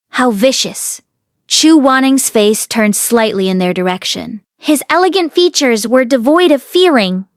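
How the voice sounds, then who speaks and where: A woman's voice: fairly high pitch, no room sound, clean studio sound. A woman reading a story aloud expressively, as an audiobook narrator. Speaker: audiobook reader.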